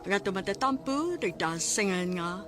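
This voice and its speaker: A woman with a Cebuano accent hosting a radio drama, giving scripted narration and announcements in a measured, formal way. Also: mid-range voice, expressive, over loud music.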